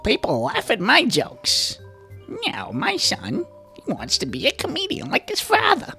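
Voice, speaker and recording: A man voicing a slow, self-satisfied monster comedian dad in a high, gravelly put-on voice. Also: huge pitch swings, bright, forceful tone, soft background music, full character voice. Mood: smug.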